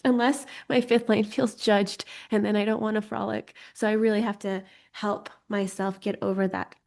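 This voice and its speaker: A woman's voice: mid-range voice, flat delivery, moderate pace, creaky, darkish tone. Slightly congested woman, chatty and playful. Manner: playful, giggly, self-deprecating asides. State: slightly congested from getting over a cold. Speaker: host teaching an online astrology course. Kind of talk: informal live online class, chatty explaining.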